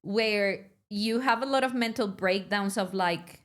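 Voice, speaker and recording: Mexican-accented woman host, native Spanish speaker, lively casual interviewer, laughs a lot, mid pitch. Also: a little slow. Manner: lively, laughs often, restarts sentences. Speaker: podcast host.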